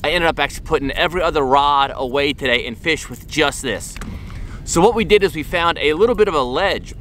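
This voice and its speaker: A man's voice: a little high, brisk, fairly bright tone, slight background noise. A middle-aged man hosting a fishing vlog in casual, enthusiastic, folksy talk, recorded out on an open boat. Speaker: fishing show host.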